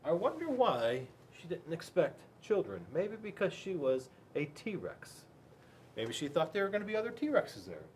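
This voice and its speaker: An American man reading a picture book aloud to children, playful and rambling, with dramatic pauses and chatty asides. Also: on the high side, wildly swooping pitch, a little hiss or hum. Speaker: school resource police officer reading to children.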